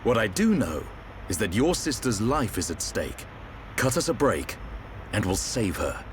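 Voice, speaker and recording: A man's voice: lowish voice, sing-song, measured pace, slight background noise. A man playing a seasoned, protective warrior leader in a video game, assured and wry in manner. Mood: persuasive, firm. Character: seasoned, level-headed male war hero, protective leader. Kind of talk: video game cutscene acting. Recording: faint outdoor ambience.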